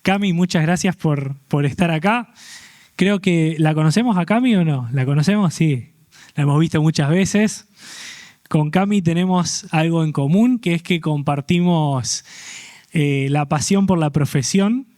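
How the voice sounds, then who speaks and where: A man hosts a church youth interview, joking lightly and pausing with fillers. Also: a little high, a little mellow. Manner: friendly, joking, halting with fillers. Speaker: host.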